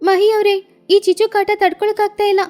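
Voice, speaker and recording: A woman's voice: very high voice, little pitch movement, normal speed, mellow, soft background music, voice pushed up. A woman voicing a distressed, complaining bird villager in a children's cartoon story, plaintive in manner. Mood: aggrieved, pleading.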